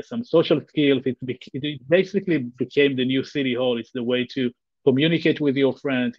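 A man's voice: medium pitch, no room sound. A man in his thirties with an Israeli accent, a guest speaking earnestly over a video call, often restarting his sentences. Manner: earnest, halting with frequent restarts and fillers. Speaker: guest, tech company founder. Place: bright room at home. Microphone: video call webcam. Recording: slightly dull, dry video call audio.

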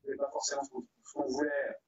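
A man in his thirties from Brittany, chatting casually as an interview guest, pausing often as he feels out his sentences. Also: mid-range voice, monotone, husky. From Brittany, France. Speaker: brewery co-founder, interview guest. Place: indoor room, video call. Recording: dull, lo-fi video call audio.

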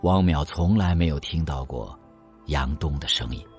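A man's voice: very deep voice, moderate pace, soft background music. A man narrating an audio drama in a scripted storytelling style.

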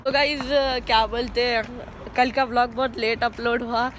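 A man's voice: very high voice, little pitch movement, normal speed. A teenage boy talking casually to his viewers as a vlogger, filming on a handheld phone while out and about. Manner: chatty, addresses viewers as guys. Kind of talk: casual vlog narration. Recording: phone audio with loud background noise.

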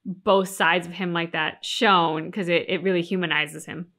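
A woman's voice: lowish voice, fairly even intonation, normal speed, a bit bright. A woman in her thirties chatting casually and thoughtfully as co-host of a reaction show. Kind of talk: casual, analytical chat. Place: small furnished room, on a couch.

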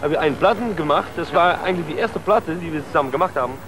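A man in his twenties from the southern Netherlands with a Dutch accent, a DJ chatting in halting non-native German in an outdoor TV interview. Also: fairly high pitch, slight background noise, rough recording. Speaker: DJ and producer. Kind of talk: casual interview chat. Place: outdoors on a harbour waterfront. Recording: outdoor TV interview with loud music behind.

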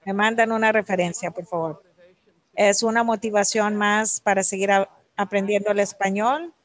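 A woman's voice: medium pitch, fairly even intonation, moderate pace. A woman interpreter with a mid-range voice. She renders an academic talk live, phrase by phrase. The delivery halts and restarts as she follows along.

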